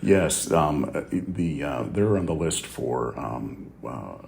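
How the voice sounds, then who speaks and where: A man hosting a casual podcast chat, relaxed and halting with lots of fillers. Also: very deep voice, vocal fry, reverberant, slight background noise. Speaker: podcast host.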